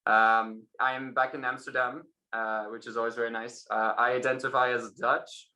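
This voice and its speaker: A man with an American accent, talking as a guest on a video call. His voice is bright and forward, and he speaks fluently in a cheerful way. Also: medium pitch, flat delivery, normal speed. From United States. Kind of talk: casual video call chat.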